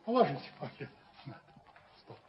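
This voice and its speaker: A man's voice: normal speed, close to an everyday voice. An actor playing a stately, self-important, middle-aged father in a radio play, speaking with formal, commanding courtesy. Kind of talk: theatrical radio drama acting. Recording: dull, noisy 1970s radio recording.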